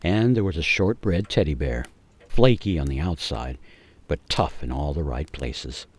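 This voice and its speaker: A man giving a measured, theatrical, suspenseful narration of a scripted radio story. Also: rumbling low, animated.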